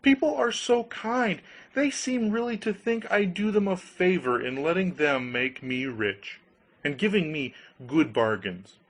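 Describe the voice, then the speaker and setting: Storybook lad, played by a man: high, animated, gullible, cheerful, exclaims eagerly. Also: a little slow, heavily acted. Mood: overjoyed. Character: cheerful, gullible young man, naive and easily delighted. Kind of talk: storybook character voice.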